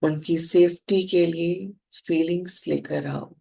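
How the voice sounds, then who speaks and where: Indian meditation guide, very slow delivery, gravelly creak, mid-pitched, calm, measured, soothing short phrases. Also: rough recording. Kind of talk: guided meditation instruction, spoken in short phrases with long pauses.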